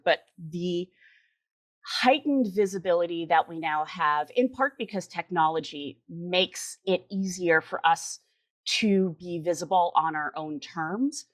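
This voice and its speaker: A woman in her forties from the Chicago suburbs, giving an earnest, articulate interview answer with emphatic repetitions. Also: lowish voice. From Chicago suburbs, US Midwest. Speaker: interview guest, writer and editor.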